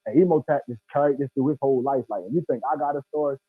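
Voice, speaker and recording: A man's voice: medium pitch, very fast, breathy edge, dry recording. A man in his thirties with an American accent, talking casually and emphatically in slangy speech over a video call with thin sound. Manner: emphatic, slangy, often says "bro". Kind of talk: casual, animated discussion. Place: indoor room, video call. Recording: thin phone-like online call audio.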